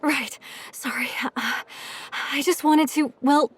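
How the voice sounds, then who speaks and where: A woman's voice: sits high, steady tone, slow. A woman playing a deferential, easily flustered villager in an audio drama, apologetic and eager to please. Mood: flustered, pleading.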